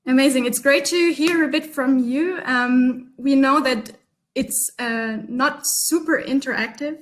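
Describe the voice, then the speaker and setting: A woman's voice: sits high, measured pace. Upbeat woman from Germany. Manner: chatty, upbeat, many ums and restarts. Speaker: workshop facilitator. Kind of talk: online workshop presenting. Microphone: video call.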